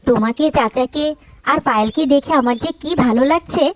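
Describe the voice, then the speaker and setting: Woman acting a sweet cartoon wife, young, supportive, chatty, highish pitch. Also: brisk, dry recording, close to an everyday voice. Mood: bright, delighted. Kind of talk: Bengali cartoon story dialogue.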